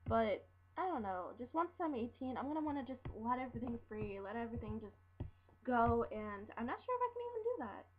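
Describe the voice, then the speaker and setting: A seventeen-year-old girl ranting casually to camera at home, rambling and halting with lots of fillers and restarts. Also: on the high side, sing-song, a little hiss or hum. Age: teens. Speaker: vlogger. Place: room at home. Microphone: built-in laptop mic. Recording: dull lo-fi laptop audio.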